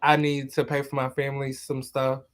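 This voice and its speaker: A man's voice: mid-range voice, completely flat delivery, moderate pace, fairly bright tone. A man in his thirties, a guest on a livestream chat, answering in a hesitant way that trails off. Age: 30s. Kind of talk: casual chat.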